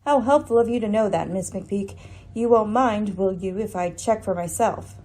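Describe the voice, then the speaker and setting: Woman acting lady sleuth, radio play, soft-edged husky tone, poised, formal, shrewd, dryly pointed. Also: mid-range voice, moderate pace, close to an everyday voice. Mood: cool, probing. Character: shrewd, composed lady detective, dryly ironic. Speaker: actor in an amateur radio play. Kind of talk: radio mystery drama acting.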